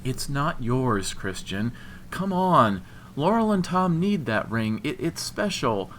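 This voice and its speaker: A man reads as an earnest young woman in an audiobook, pitched well up from the narration. The delivery is imploring and halting, compassionate yet firm, with some noise behind it. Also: expressive, a bit bright, exaggerated voice. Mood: sad, firm. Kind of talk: audiobook character dialogue.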